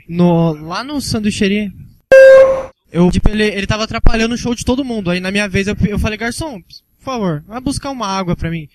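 A man with a Brazilian accent talking casually and comically in a very noisy recording with clicks. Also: high voice. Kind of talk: casual comic anecdote and mic testing.

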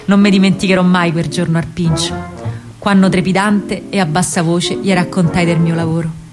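A woman's voice: lowish voice, lightly acted. Actress as a young Roman partisan woman, music behind. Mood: grave, impassioned. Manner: colloquial, expressive, confiding. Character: young female partisan courier, lovestruck and spirited. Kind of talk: theatrical radio monologue, dramatic first-person storytelling.

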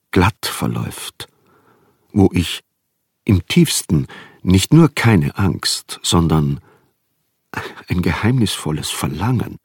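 A refined, earnest man of letters with a low, husky voice. He reads a solicitous letter haltingly, dry and close, in a radio drama. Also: no echo, full character voice. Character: solicitous, earnest, refined male literary friend.

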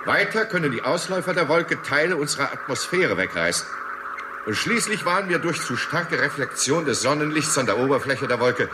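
An actor playing an earnest, serious scientist speaking formally and deliberately in a 1960s radio play. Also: male voice, fairly high pitch, animated, lightly acted. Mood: earnest, cautioning. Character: earnest male scientist leading urgent talks with an alien. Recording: noisy old radio play recording.

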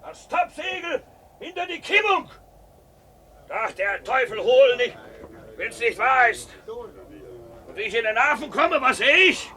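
An actor playing a grizzled, blustering grey-haired merchant captain in a radio drama, with a hoarse, salty, emphatic delivery. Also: male voice, extremely high pitch, sing-song, measured pace, long pauses, pushed and bright, exaggerated voice. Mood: bawling, outraged. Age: older. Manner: bawling, emphatic, salty storytelling. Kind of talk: 1970s German radio drama acting.

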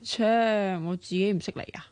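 An actress playing a sharp-tongued, bossy, excitable young woman in a radio drama, chatty and expressive, with a Hong Kong Cantonese accent. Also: medium pitch, normal speed, natural voice. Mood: teasing, nagging.

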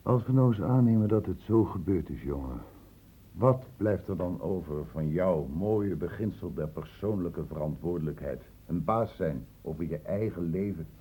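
An actor playing a stern, probing doctor in a Dutch radio drama, with measured, clinically precise questioning. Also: male voice, low voice, expressive, mellow, breathy edge. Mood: probing, insistent. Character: probing, stern male doctor, calmly cornering a suspect. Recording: muffled phone-like old radio recording.

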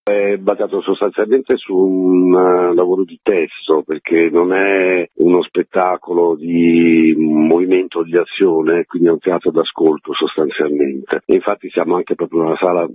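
A man's voice: deep voice, flat delivery, moderate pace, hardly any pauses, slightly rough, no room sound. A man, an actor being interviewed on radio, explaining in a reflective, wordy way over a phone line. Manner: reflective, wordy, with frequent qualifiers. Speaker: stage actor, interview guest.

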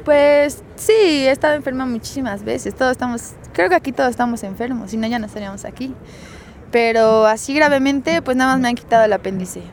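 A young woman with a Mexican accent talks in a loose, chatty way and laughs often. Her voice sits a little high. Faint noise hums under the recording. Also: fairly quick. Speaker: musician guest. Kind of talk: casual radio interview.

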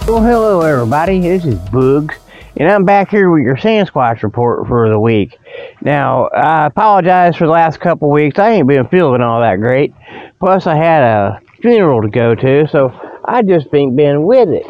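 A man's voice: fairly high pitch, very animated, normal speed, a little mellow, no room sound, dull audio, faint music behind. A man hosting a comic radio report in a folksy, meandering monologue, with a bit of a frog in his throat. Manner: folksy, colloquial, meandering, often losing the thread. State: slight frog in the throat from a lingering cold. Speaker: host of a humorous report show. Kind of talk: rambling comic radio monologue with mock sponsor pitches.

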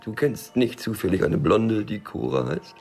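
An actor playing a shady, world-weary small-time smuggler in a crime radio drama, with a dry, colloquial manner that is wary and sardonic. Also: male voice, fairly low pitch, big pitch swings, normal speed, vocal fry, darkish tone.

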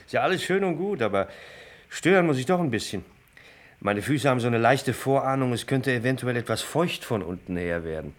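A man's voice: medium pitch, animated, normal speed, close to an everyday voice. An actor playing a sardonic young man needling others in a roundabout, jaunty way in a German radio play. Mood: mocking.

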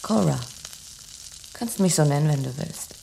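An actress playing a proud, prickly diner owner's wife in a radio drama, curt and defensive, with a gravelly voice. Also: female voice, low-pitched, expressive, normal speed, dark tone, a little hiss or hum, exaggerated voice, low-quality recording. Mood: guarded.

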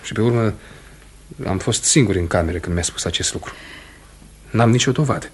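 An actor playing a stern, proud farmer husband who is quick to anger, in a brusque, emphatic Romanian radio theatre style. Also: male voice, fairly low pitch, breathy edge. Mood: troubled.

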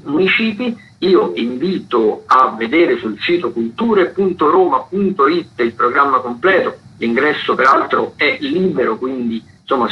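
A man's voice: medium pitch, muffled recording. A man hosting a radio show, presenting the week's theatre events with many hesitations, fillers and restarts. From Italy. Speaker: radio host. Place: radio studio.

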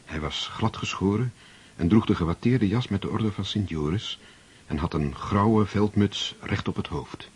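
A man narrating a radio drama in a measured, scripted storytelling style with a raspy voice. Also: lowish voice. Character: measured male storybook narrator of war novel.

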